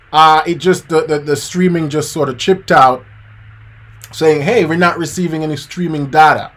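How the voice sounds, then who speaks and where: A man's voice: fairly high pitch, fairly even intonation, measured pace, pauses often, fairly bright tone. A man from Jamaica in his thirties, a piano teacher live-streaming a motivational lesson, talking casually and repeating his points. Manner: casual and preachy, addresses viewers as guys and man, repeats key points.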